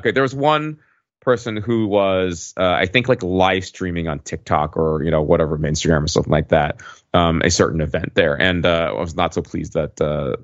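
A middle-aged man chatting casually on a podcast, telling stories in a halting way with many pauses. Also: deep voice, dry recording, highs cut off. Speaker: podcast panelist. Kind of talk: casual conversational storytelling.

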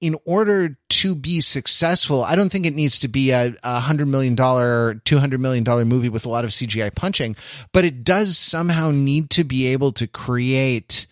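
An American man co-hosting a podcast in casual analytical discussion, wordy and intellectual, often restarting his sentences haltingly. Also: mid-range voice, fairly bright tone, no room sound, studio quality. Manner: hesitant, halting delivery with repeated false starts. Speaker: podcast co-host.

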